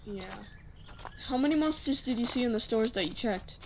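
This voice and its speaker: A woman's voice: fairly high pitch, lively intonation, normal speed, noisy background, close to an everyday voice. A woman playing a serious, caring young human child in an audio drama, with a plain, earnest way of talking. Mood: worried.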